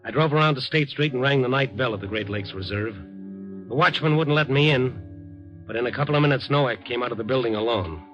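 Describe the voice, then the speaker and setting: A man's voice: medium pitch, brisk, lightly acted. A man playing a dogged newspaper reporter in a 1950s radio drama, American, earnest and clipped, with hard-boiled delivery. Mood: brooding. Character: dogged male newspaper reporter narrating his night investigation. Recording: dull vintage radio recording, music behind.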